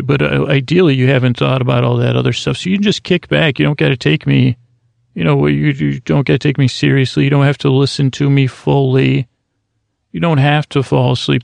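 A man hosting a podcast in a soft, meandering bedtime ramble, drifting off track and poking fun at his own slips. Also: mid-range voice, gravelly, no echo. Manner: soft, meandering, self-mocking with verbal slips. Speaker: podcast host.

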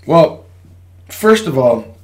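Young male podcast co-host, voice roughened from a late night, rambling and self-deprecating. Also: fairly high pitch, sing-song, drawn-out words. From Virginia. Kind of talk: casual chat.

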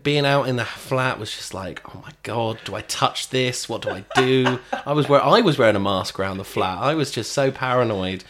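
A man's voice: mid-range voice, moderate pace, hardly any pauses, noisy background. A man with a mid-range voice chats on a podcast into a studio mic with a pop shield. He is wry and teasing, laughing often as he talks. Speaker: podcast co-host. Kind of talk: casual chat.

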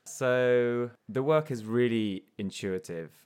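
A man's voice: mid-range voice, takes it slowly, a little mellow, smooth. A man, an artist guest, talking in a loose, reflective and easygoing way with frequent restarts. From grew up in Portugal, then England. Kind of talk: casual conversational interview.

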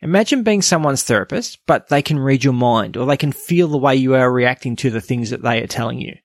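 A man's voice: mid-range voice, lively intonation, a little fast, no echo, studio quality. A man with an Australian accent hosting a podcast, reading a scripted review. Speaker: podcast host.

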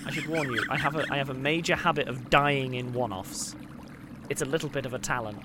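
A man chatting casually as a tabletop game player, halting and wryly self-deprecating. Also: medium pitch. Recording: clear background noise.

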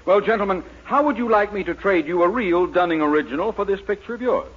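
An actor in a 1940s radio comedy playing a wry, cunning gentleman painter, urbane and polite in his speech. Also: high voice, fast talker, slightly rough. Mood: smoothly obliging. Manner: polite, urbane, dryly amused. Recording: muffled, very noisy 1940s radio recording.

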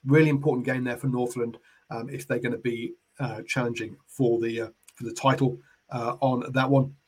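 Brief: male NZ rugby podcast host, hoarse from a head cold, husky, rambling with lots of ums and uhs. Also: mid-range voice, fairly even intonation, moderate pace. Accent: New Zealand. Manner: rambling, many fillers and restarts. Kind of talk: casual solo sports talk.

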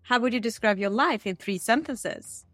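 A woman hosting an interview, reading scripted questions in a steady, measured way. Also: on the high side, no echo, soft background music. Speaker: podcast interviewer. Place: Stockholm.

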